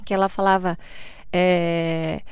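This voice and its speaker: A woman's voice: fairly low pitch, slow pace, clear, resonant tone, no room sound, clean studio sound. A middle-aged woman from Brazil with a Brazilian Portuguese accent, a guest speaking reflectively in a conversational interview, laughing often. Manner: reflective, laughs often, quotes others in lively voices.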